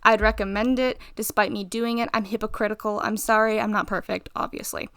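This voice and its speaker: A tired young woman with a slightly raspy voice, rambling casually in a voice-over while she draws, interrupting herself and giggling. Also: medium pitch, flat delivery, brisk, fairly bright tone, no echo, studio quality. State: tired, short on sleep. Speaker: art channel host.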